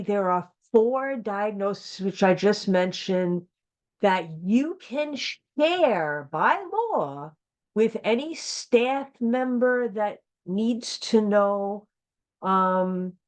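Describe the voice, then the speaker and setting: An American woman giving an informal training lesson, speaking plainly as an instructor and repeating key points for emphasis. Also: medium pitch. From United States. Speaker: instructor of a training course for school founders.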